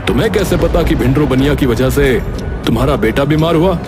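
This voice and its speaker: A man's voice: mid-range voice, a little fast, close to an everyday voice. Man playing a stern, brusque police inspector over music. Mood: dismissive. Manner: brusque, authoritative. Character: stern, dismissive male village police inspector. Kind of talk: cartoon story voice acting. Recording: music behind the voice.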